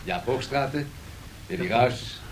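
A man's voice: mid-range voice, slow, natural voice. A male actor playing a courteous radio host, politely and sociably making introductions in naturalistic dialogue. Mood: courteous, welcoming. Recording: faintly noisy 1970s radio studio tape.

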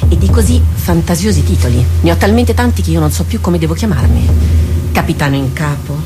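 Actress doing a tough proud warrior captain lady, lowish voice, wry and measured, radio play with some music behind. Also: lively intonation, natural voice. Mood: sardonic. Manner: formal, deliberate, sardonic. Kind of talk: theatrical radio drama acting. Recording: studio radio drama with music underneath.

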